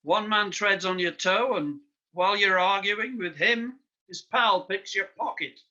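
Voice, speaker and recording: Man playing a sharp, high-voiced police inspector. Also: measured pace, exaggerated voice. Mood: skeptical. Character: skeptical, authoritative male police inspector boss. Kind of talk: live radio play acting. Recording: dull lo-fi streamed audio.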